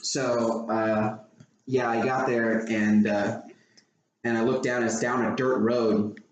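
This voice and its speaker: A man's voice: medium pitch, flat delivery, highs cut off. An American man chatting casually and telling rambling, profanity-laced anecdotes as a co-host. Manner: rambling, profane, quick to laugh. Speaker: podcast co-host telling stories. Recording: roomy echo with clear background noise.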